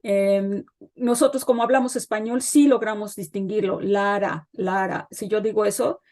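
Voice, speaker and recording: A woman's voice: mid-range voice, steady tone, darkish tone. Middle-aged woman, guest expert, thoughtful explainer who hesitates often with fillers. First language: Spanish. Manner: thoughtful, pauses with frequent fillers. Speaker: guest expert on child development. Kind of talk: explanatory interview talk.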